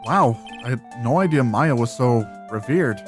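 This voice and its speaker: A man's voice: mid-range voice, lively intonation, moderate pace, music in the background, voice pushed down, close to an everyday voice. A man voicing a wry, easily exasperated adult male lawyer in video game dialogue. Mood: wry musing. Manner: wry, incredulous.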